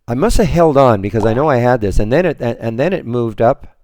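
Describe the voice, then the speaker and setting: A hoarse man moderating a trading room, talking through his trades on a screen share in a rambling way, full of restarts and fillers. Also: medium pitch, animated, a little fast, a little mellow, no echo.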